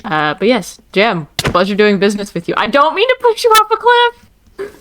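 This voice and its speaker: A woman's voice: on the high side, huge pitch swings, moderate pace, fairly bright tone, a little hiss or hum. A woman gamer talking casually with fellow players during a game session. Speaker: gamer, guest collaborator. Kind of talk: casual gaming chat.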